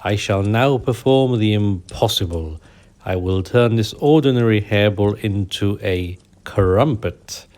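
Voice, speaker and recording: A man's voice: on the low side, measured pace, a little mellow, lightly acted. A man voicing a pompous, sleepy male cat magician in a children's bedtime story, with a theatrical, grandiose manner. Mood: grandly boastful.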